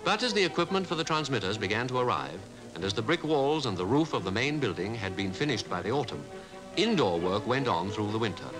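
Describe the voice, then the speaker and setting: Animated 1950s British newsreel narrator, man. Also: medium pitch, music in the background, low-quality recording. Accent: British Received Pronunciation. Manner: formal, measured delivery. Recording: 1950s newsreel archive soundtrack.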